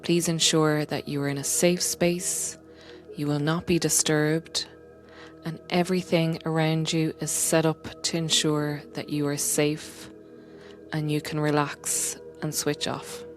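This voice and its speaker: A woman guiding a meditation in a gentle, unhurried, soothing way, often softening toward a breathy whisper. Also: sits low, level tone, soft background music. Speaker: meditation guide and coach, podcast host. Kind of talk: guided meditation, soothing slow instruction.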